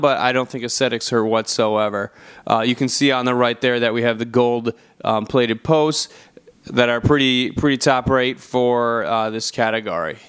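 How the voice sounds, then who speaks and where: A man with a smooth, clear voice at an average pitch talks through a product review. He sounds casual and conversational, like a channel host doing a voice-over. His phrasing is a little hesitant, pausing partway through sentences. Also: fairly bright tone.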